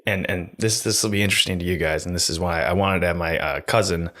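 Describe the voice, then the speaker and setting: A young man co-hosting a podcast, talking in a calm, even, monotone way close to a studio mic in a small room. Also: low voice, fast. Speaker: podcast co-host. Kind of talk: casual chat. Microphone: studio mic on stand, close.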